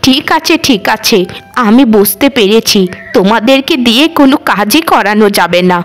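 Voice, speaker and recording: A woman's voice: fairly high pitch, expressive, moderate pace, soft background music, lightly acted. A woman voicing an elderly cartoon mother-in-law, weary and scolding, with a lamenting, nagging way of talking. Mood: scolding, exasperated. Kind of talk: Bengali cartoon voice acting.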